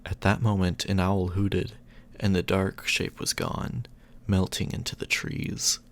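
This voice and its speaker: Slow, low-voiced man telling bedtime stories. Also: flat delivery, vocal fry. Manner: affectionate, soothing. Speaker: ASMR roleplay performer and storyteller, as a boyfriend. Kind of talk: ASMR bedtime storytelling.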